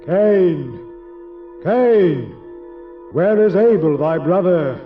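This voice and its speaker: An actor playing God as a solemn, commanding, admonishing voice from heaven in a religious audio drama. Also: male voice, high-pitched, animated, drawn-out words, exaggerated voice. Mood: stern questioning. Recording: distant, muffled old cassette drama. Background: music.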